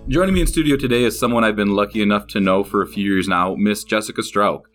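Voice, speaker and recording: A man with a low voice and an American accent hosts a podcast interview. He talks in a conversational way and is generous with compliments to his guest. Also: fairly bright tone. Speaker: podcast host and chamber executive director. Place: studio in an office.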